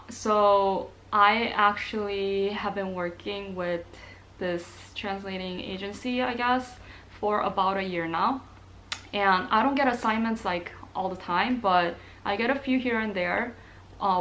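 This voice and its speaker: A woman's voice: mid-range voice, unhurried, fairly bright tone, low-quality recording. A young woman from Korea, chatting casually to camera as a nursing student vlogger, with plenty of ums and likes. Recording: faint background noise.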